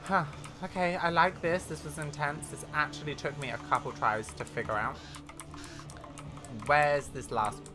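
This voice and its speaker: A gaming video host giving casual, excitable, self-deprecating commentary while playing. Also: mid-range voice, normal speed. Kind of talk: casual gameplay commentary, reading game dialogue aloud. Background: music behind the voice.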